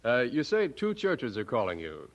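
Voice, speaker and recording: An actor playing a kindly older seminary dean in a radio drama, speaking in a deliberate, avuncular way. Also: male voice, medium pitch, huge pitch swings, lo-fi sound. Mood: kindly, thoughtful.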